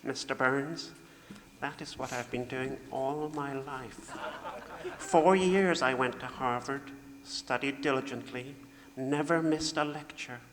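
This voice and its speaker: A man's voice: mid-range voice, takes it slowly, natural voice. A man playing an educated, self-pitying sound-effects man in an old-time radio comedy, speaking with formal indignation. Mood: bitter, self-pitying. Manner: formal, indignant, mournful oratory. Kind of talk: 1940s radio comedy acting, live stage tribute. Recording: echoey hall with faint noise.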